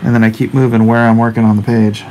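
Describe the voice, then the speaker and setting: A man's voice: mid-range voice, flat delivery, fast talker, mellow, slight background noise, low-quality recording. A man in his forties chatting casually on a live drawing stream, slangy and offhand as he reads and answers viewer comments. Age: 40s. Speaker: comic artist hosting a live drawing stream. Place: indoors at a drawing desk.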